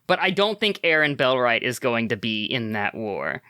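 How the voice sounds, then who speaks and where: A man talks as a player in a tabletop game. His voice is bright and animated, with a wide swing in pitch. He often stammers and restarts, and the recording is dry and close. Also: moderate pace, clean tone, dry recording. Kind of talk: casual tabletop play.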